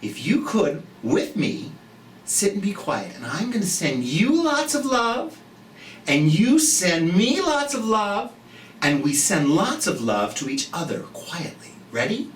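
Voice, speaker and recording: Expressive children's storyteller with very varied pitch, in a roomy space. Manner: theatrical, expressive, with drawn-out emphasis; gentle, encouraging, speaking directly to children. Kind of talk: animated children's read-aloud and gentle chat to young viewers. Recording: roomy echo, distant mic, light noise.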